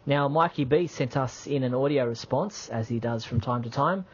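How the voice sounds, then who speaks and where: A man's voice: mid-range voice, normal speed, slightly rough. A man co-hosting a radio show, chatting casually. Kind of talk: casual talk show chat. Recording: dull lo-fi audio.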